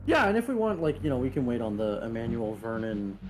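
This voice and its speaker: Man chatting over clearly audible music. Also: medium pitch, sing-song, fast talker. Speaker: tabletop game player. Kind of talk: casual game chat.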